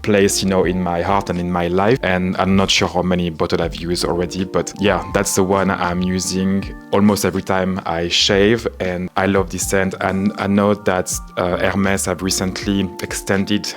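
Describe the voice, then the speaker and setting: A man with a French accent, talking calmly to camera in a casual vlog with frequent fillers. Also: sits low, soft background music. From France. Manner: calm, measured, frequent "you know" fillers. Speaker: menswear and vintage YouTuber. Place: home in Southern California.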